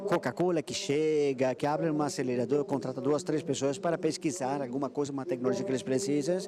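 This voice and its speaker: A man from Israel with an Israeli accent, giving a conference presentation and often halting and repeating words while he searches for them. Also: mid-range voice, steady tone, a loud crowd.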